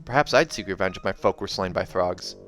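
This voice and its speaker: A man's voice: sits low, fairly quick, a bit bright, faint music behind. A man voicing an earnest, loyal young man in a fantasy storybook, plain-spoken and insistent.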